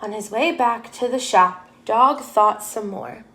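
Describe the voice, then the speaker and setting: A woman's voice: a little high, measured pace, fairly bright tone. A woman teacher reading a children's storybook aloud expressively, putting questions to her young listeners.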